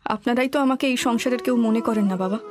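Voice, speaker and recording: A woman's voice: a little high, fast talker, music in the background, close to an everyday voice. A woman playing an anxious, indignant young daughter-in-law in a cartoon horror story. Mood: questioning, indignant.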